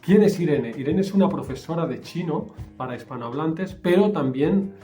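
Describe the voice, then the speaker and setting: Friendly man from Spain as interview host, warm dark tone, slightly high voice, faint guitar bed. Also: expressive, normal speed. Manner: encouraging, frequent fillers and backchannels. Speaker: Spanish teacher, interview host. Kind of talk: casual interview.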